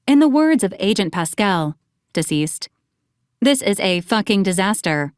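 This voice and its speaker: A woman voicing a formal, precise and deadpan female robot assistant in a video game, polite and cheerfully morbid. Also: medium pitch, a little slow, clean tone, no echo, clean studio sound. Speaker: robot assistant to a military commander. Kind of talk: scripted video game voice lines, formal announcements.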